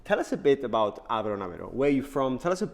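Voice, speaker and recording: A man hosting a company channel interview, energetic and enthusiastic as he puts questions to a colleague. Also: medium pitch, rapid-fire, creaky. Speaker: host and interviewer.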